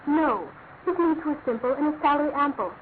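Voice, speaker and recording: An actress playing an earnest, anguished young British fiancée with an emphatic, halting delivery in a classic radio drama. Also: female voice, sits high, lively intonation. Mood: subdued, earnest. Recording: noisy, narrow-band old radio recording.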